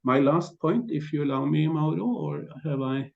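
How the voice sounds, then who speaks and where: A man with a Norwegian accent giving a conference presentation, speaking haltingly with repeated words and frequent throat clearing. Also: a little high, muffled recording. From Norway.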